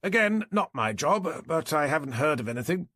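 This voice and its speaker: A man's voice: fairly high pitch, sing-song, moderate pace, fairly bright tone, no room sound, studio quality, voice pushed up. A man voicing a fussy, exasperated police doctor in an audiobook, with a British accent. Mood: defensive.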